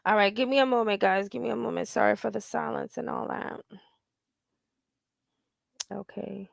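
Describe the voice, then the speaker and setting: A woman's voice: medium pitch, fairly even intonation, moderate pace, creaky, no room sound, clean studio sound. A woman in her forties hosting a casual livestream, talking in a blunt, profane and rambling way and reading out viewer comments. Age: 40s. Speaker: livestream host.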